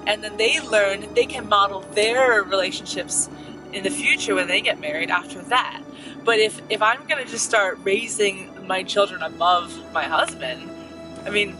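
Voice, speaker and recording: A woman's voice: medium pitch, poor audio. An American woman co-hosting a family vlog, talking in an earnest, chatty way. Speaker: vlog co-host. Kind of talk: casual chat.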